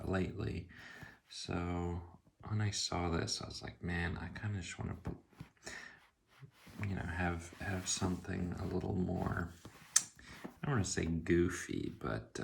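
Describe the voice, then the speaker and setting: Soft-spoken man, very gravelly low voice. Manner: hesitant, halting, unscripted musing. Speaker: tarot deck reviewer. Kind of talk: soft-spoken ASMR review, casual rambling. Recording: clearly noisy, clicks and tapping.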